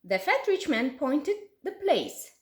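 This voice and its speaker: Woman, Uzbek accent, storybook narration, very lively pitch, echoey room. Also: slow. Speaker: storyteller and English teacher. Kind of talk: expressive storybook narration of a folk tale. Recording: roomy, echoey sound.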